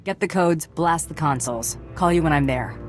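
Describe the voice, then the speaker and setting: An actress playing a tough, terse bounty hunter in a video game, blunt and skeptical. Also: female voice, sits low, moderate pace, soft background music, natural voice. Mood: dry. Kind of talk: video game cutscene acting.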